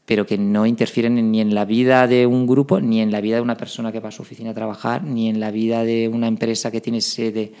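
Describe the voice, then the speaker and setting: A man's voice: on the low side, little pitch movement, quick pace, few pauses, dark tone, clean tone. A man with a Spanish accent from Barcelona, a band member explaining himself emphatically in a casual interview. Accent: Spanish, from Spain.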